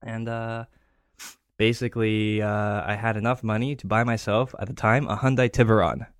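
A man's voice: lowish voice, little pitch movement, normal speed, no echo, clean studio sound. A man, telling stories casually as a podcast guest. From Canada and Greece. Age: 30s. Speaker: podcast guest, real estate investor. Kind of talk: casual conversational storytelling.

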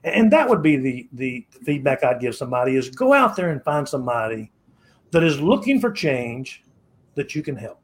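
A man's voice: mid-range voice, big pitch swings, moderate pace. A man in his sixties, a podcast guest, giving motivational advice in a preachy, repetitive way and often restarting his phrases. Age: 60s. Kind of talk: motivational interview talk.

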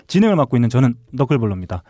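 A man's voice: medium pitch, sing-song, rapid-fire, dark tone, no room sound. A man hosting a music podcast, bantering with his co-hosts.

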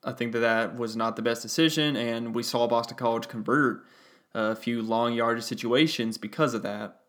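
Gravelly-voiced man breaking down a game. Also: mid-range voice, fairly even intonation, normal speed, a bit bright. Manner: casual analytical, thinking aloud with fillers. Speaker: podcast host. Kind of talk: solo sports analysis podcast.

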